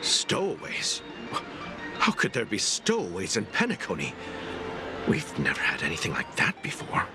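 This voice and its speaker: An earnest security officer in a game. His lowish voice is formal and solicitous, moving very widely in pitch, and music plays behind it. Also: wildly swooping pitch, moderate pace. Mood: taken aback. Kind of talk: video game voice acting.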